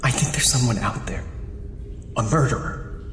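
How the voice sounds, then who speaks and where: An actor playing a frightened big-city architect, nervous and pleading in an audio horror drama. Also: male voice, medium pitch, normal speed, lightly acted. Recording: echoey room with faint hiss.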